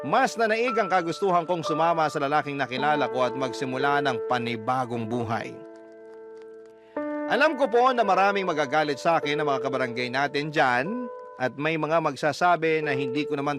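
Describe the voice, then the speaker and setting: Earnest male radio storyteller. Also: a little high. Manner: reading a listener's letter in first person, earnest. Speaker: radio drama narrator. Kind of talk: scripted radio narration. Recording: music bed under the voice.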